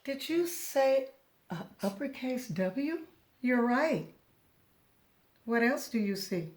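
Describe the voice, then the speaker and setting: A woman's voice: sits high, lively intonation, slow, a little mellow. A woman speaking as a teacher of young children, encouraging and patient, going step by step and posing questions to the listener. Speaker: preschool teacher. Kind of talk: children's lesson, asking and answering questions.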